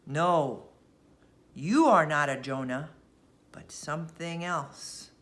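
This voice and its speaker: A woman voicing a solemn, mysterious male ship's cook in a storybook, cryptic and measured as he prophesies. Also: low-pitched. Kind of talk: storybook character voice.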